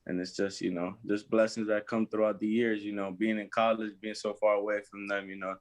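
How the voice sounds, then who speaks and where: A young college basketball player from Massachusetts answering interview questions in a halting way, filling pauses with "you know" and "uh". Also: male voice, fairly low pitch, flat delivery, no echo. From Lawrence, Massachusetts. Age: young adult.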